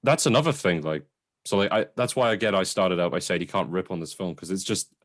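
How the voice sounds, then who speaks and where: A man's voice: low voice, fairly quick, no echo, clean studio sound. A man co-hosting a casual film chat, talking in an enthusiastic, effusive way. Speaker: podcast co-host.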